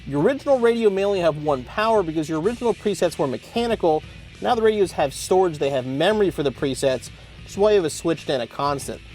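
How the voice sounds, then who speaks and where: A man hosting an instructional car installation demonstration, explaining step by step in plain-spoken language. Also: on the high side. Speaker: host of car installation how-to videos.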